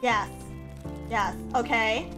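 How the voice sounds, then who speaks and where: High-voiced woman streamer with a stuffy cold, casual chat with bursts of yelling, clear game music under her. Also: drawn-out words. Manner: swings from mumbling to loud excited yelling.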